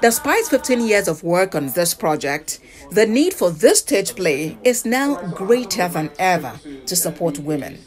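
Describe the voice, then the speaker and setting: A woman's voice: fairly low pitch, sing-song, measured pace. A woman reading a scripted news voice-over as a reporter. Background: music.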